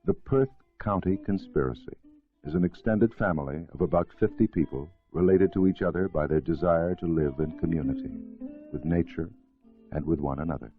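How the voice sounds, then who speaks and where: A man reading scripted educational film narration in a formal, measured way. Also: low voice, faint music behind. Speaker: documentary narrator. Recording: narrow-band vintage film soundtrack.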